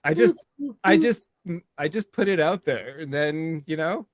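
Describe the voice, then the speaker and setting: A male podcast co-host chatting casually, his pitch leaping around as he laughs and trips over his own restarts. He sits a little higher than most men and the sound is dry and close to the mic. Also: unhurried, dry recording. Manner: animated, laughs often, restarts sentences. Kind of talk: casual chat.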